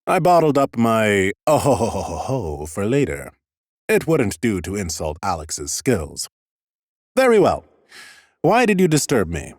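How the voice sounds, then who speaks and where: An actor playing a haughty, dry-witted scholarly lich in an audiobook, with a pompous, formal, deadpan manner. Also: male voice, fairly low pitch, measured pace, no room sound, heavily acted. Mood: haughty, curt. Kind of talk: scripted first-person audiobook narration and dialogue.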